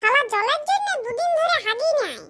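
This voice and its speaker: A performer voicing a crude, self-pitying village boy who grumbles in rustic slang in a comedy cartoon, with a pitched-up voice. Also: very animated, brisk, pushed and bright, exaggerated voice. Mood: irritated. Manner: muttering complaints in rustic slang.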